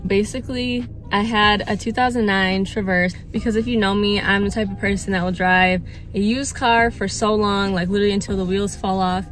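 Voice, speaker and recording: Brief: woman in her 20s, casual car vlog, earnest rambling chat, mid pitch, phone mic in a parked car. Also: steady tone, a little fast, few pauses, soft background music. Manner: chatty, earnest, many fillers and repeats. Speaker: vlogger. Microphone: phone held at arm's length or mounted on dashboard.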